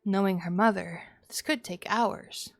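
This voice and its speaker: A woman reading a visual novel aloud as dramatic storytelling, in a hushed tone. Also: mid-range voice, measured pace, vocal fry, no room sound, studio quality. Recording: music behind the voice. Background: background music.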